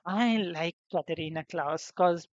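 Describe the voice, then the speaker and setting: A young woman VTuber chats casually on stream, rambling and restarting her sentences. Her voice sits a bit low and sounds slightly hoarse. The recording is dry and a little dull, as from a modest desk mic. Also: a little slow, dry recording. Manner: rambling, chatty, many fillers and restarts. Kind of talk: casual debut stream chat.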